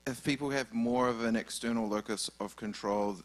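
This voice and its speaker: A man's voice: medium pitch, steady tone, moderate pace. A man with a New Zealand accent asking a question from the audience, hesitant and full of restarts. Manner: hesitant, many restarts and fillers. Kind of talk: live question from the floor.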